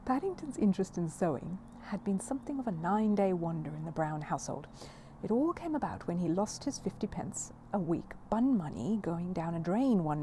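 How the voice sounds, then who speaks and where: A woman reading a children's story aloud as an expressive narrator. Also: medium pitch, slight background noise. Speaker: audiobook reader.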